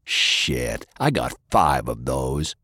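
Boastful brute villain played by a male narrator: gruff, very low, menacing, dry studio sound. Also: very animated, extremely slow, sharp tone, no echo, exaggerated voice. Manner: sneering, boastful. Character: brutish, taunting undead-tough brother, boastful and menacing. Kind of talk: audiobook character acting.

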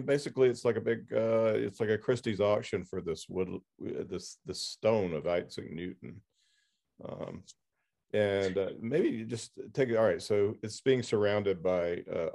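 Low, gravelly man hosting a podcast. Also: warm tone. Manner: halting, rambling phrasing. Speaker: podcast host interviewing an author. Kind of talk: casual interview.

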